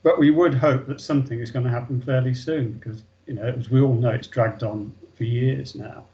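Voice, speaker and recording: Man, hoarse, losing his voice, online meeting update, dull lo-fi call audio. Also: mid-range voice, fairly even intonation, fairly quick. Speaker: meeting participant giving an update. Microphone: video call.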